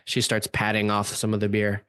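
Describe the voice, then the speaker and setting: A man's voice: lowish voice, monotone, a little fast, fairly bright tone, smooth, no room sound. A man narrating an improvised radio play in the present tense. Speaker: narrator and game-style player of a radio play.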